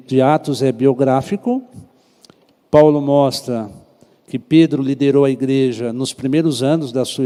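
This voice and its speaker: A fairly high-voiced man with a Brazilian Portuguese accent teaching a church Bible class. His tone is mellow and unhurried, and he keeps turning questions back to the class. The sound carries a long reverb, as if in a large church hall. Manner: conversational, asks the class questions. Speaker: pastor teaching Sunday school class.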